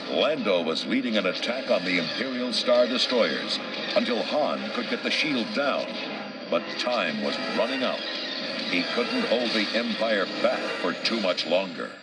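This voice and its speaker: Lowish-voiced male storybook narrator. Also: very animated, measured pace, loud music behind the voice, low-quality recording. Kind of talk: scripted dramatic narration.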